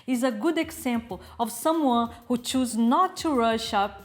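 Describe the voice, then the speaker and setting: A woman in her forties co-hosting a TV talk show, giving calm advice. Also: fairly high pitch, measured pace. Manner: calm, advising. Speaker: co-host. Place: TV studio, indoors.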